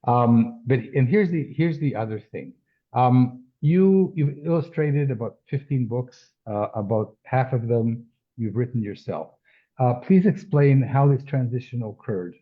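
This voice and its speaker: A man hosting an interview, asking questions hesitantly with many fillers and pauses. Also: medium pitch, animated. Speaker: interview host. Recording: tinny phone-like line.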